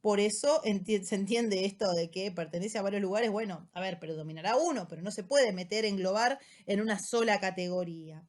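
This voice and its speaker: A woman teacher with an Argentine accent, explaining a text in a relaxed lecture style and often checking in with short tag questions. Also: medium pitch, fairly quick, few pauses. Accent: Argentine Spanish. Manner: casual rioplatense slang. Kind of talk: recorded lecture summary.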